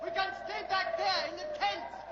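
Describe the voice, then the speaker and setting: An actor playing a panicked, stubborn, superstitious mountain guide in 1940s radio drama style. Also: male voice, very high voice, level tone, moderate pace. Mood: panicked refusal. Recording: echoey, noisy muffled radio, crowd clamour.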